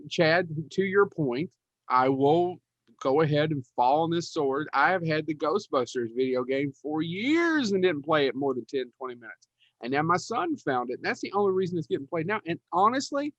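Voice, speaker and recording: Middle-aged male co-host, slightly high voice, self-deprecating rambler, casual delivery over dull lo-fi audio. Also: a bit bright, no echo. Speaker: podcast co-host. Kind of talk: casual, rambling podcast chat.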